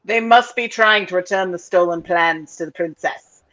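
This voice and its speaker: A woman playing a menacing, commanding male dark lord in an amateur table read of a space film. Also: on the low side, normal speed, close to an everyday voice, low-quality recording. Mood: cold, commanding.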